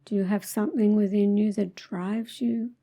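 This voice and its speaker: A woman leading a spiritual guided meditation, speaking in measured, deliberate phrases with pauses in a soothing manner. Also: mid-range voice, steady tone, warm tone, soft background music.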